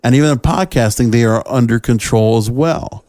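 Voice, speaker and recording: A man's voice: mid-range voice, normal speed, a little mellow, no echo. Man hosting a podcast, dry close sound. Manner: conversational, emphatic, addresses listeners directly with rhetorical questions. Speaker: podcast host. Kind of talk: opinionated solo podcast commentary.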